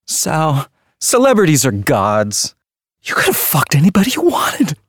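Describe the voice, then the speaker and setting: A man's voice: fairly high pitch, huge pitch swings, unhurried, slightly rough, dry recording, clean studio sound, heavily acted. A man playing an obsessive, crude true-crime fan in an audio drama, questioning insistently. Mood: prying, insistent. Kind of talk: dramatic audio play dialogue.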